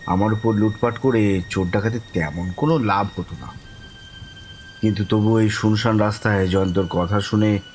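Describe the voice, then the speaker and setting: Mild male first-person horror narrator, played by an actor, fairly low, measured and rueful, faint rain underneath. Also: a little hiss or hum, natural voice. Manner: measured, rueful, patient storytelling. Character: mild, easygoing man recounting his own ghostly night. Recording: rain ambience behind voice.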